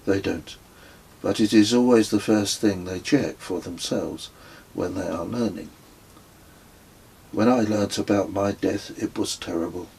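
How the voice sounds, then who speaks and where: A low, husky storybook astrologer, acted by a male reader. He is earnest and tender, like a brother to the listener. He speaks slowly and gravely, weighing each phrase. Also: a little mellow, full character voice. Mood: solemn, tender.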